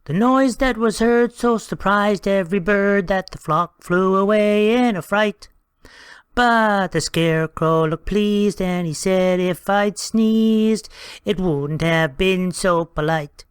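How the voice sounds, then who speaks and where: A woman voicing a small, loyal, cheeky male servant with a lowered, gruff, firm delivery. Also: takes it slowly, no echo, natural voice. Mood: reciting verse. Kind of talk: storybook reading.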